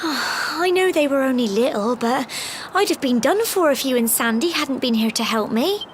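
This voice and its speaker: An actress playing a plucky young village girl in a video game, with a chatty, expressive, folksy way of talking. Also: high voice, normal speed, a little hiss or hum. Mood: grumbling. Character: cheerful plucky village girl on coming-of-age climb. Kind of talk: video game cutscene voice acting. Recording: game music behind the voice.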